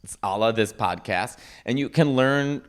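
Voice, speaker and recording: A man's voice: medium pitch, normal speed, clear, resonant tone. A man hosting a comedy podcast, chatting casually with playful, campy, jokey banter.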